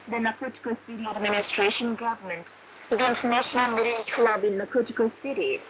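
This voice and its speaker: A woman radio announcer reading a formal, solemn news bulletin in a measured way, heard over shortwave radio. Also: fairly high pitch. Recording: noisy shortwave radio reception with static. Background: shortwave static and noise.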